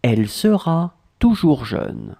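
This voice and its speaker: Slow gravelly dictation voice. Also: mid-range voice, big pitch swings, warm tone. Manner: repeats each phrase, splitting it into chunks. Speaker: language tutor.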